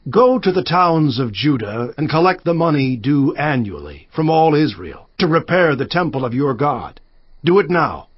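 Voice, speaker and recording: A man's voice: fairly high pitch, big pitch swings, normal speed, husky, no echo, dull audio. An actor playing a commanding young king in a dramatized scripture reading, firm, demanding and declamatory. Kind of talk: dramatized scripture audio acting.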